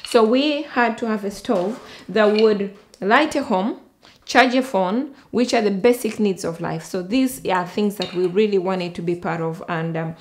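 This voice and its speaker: A middle-aged woman with a Ugandan accent, explaining and demonstrating a product she invented, halting often with ums and restarts. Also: medium pitch.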